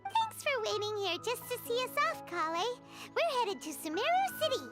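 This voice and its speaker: A tiny fairy companion for a video game. Her voice is squeaky, childlike and extremely high, with animated swoops in pitch. She is bubbly and excitable, with music behind. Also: moderate pace. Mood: cheerful.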